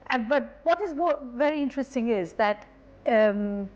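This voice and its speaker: A woman's voice: on the high side, lively intonation, moderate pace, a little mellow, lo-fi sound. A woman with an Indian accent hosting an interview in a chatty, informal, conversational way. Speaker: programme host and interviewer.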